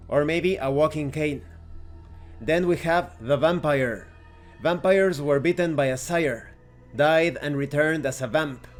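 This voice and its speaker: A man narrating a scripted tabletop game review in a methodical, explanatory way, as the host. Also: fairly high pitch.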